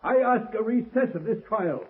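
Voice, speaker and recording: An actor playing a formal, insistent defense lawyer in a 1930s radio courtroom drama. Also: male voice, high-pitched, animated, normal speed. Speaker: defense counsel. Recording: tinny scratchy 1930s radio recording.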